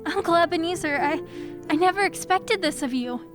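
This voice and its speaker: Casting: woman as astonished young wife, radio play, extremely high voice over clear music. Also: a little fast. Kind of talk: old-time radio play acting.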